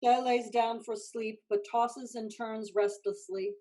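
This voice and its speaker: A woman's voice: fairly high pitch, fairly even intonation, unhurried, mellow. A woman reading scripted stage directions as narrator.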